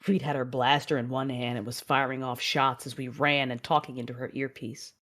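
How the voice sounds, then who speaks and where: A woman playing a grieving female Jedi and mother, narrating her own tragedy in an emotive, measured audio drama style. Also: very deep voice, flat delivery, fairly bright tone. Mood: somber recounting. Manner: emotive, measured storytelling with anguished dialogue.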